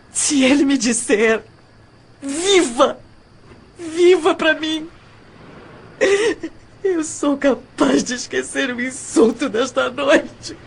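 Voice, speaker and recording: Heartbroken young Brazilian heroine , played by a woman, high, slow, emotional voice for audio drama, faint background noise. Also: vocal fry, heavily acted. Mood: desperate, pleading. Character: heartbroken, desperate young woman feigning cheer. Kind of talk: audio novel acting.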